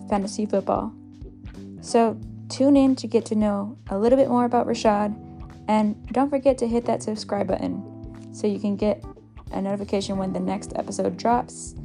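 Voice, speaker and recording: A woman's voice: mid-range voice, vocal fry. A woman hosting a podcast, reading her show intro and chatting with a guest, with a voice that sounds younger than she is. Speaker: podcast host. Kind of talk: podcast intro and casual interview.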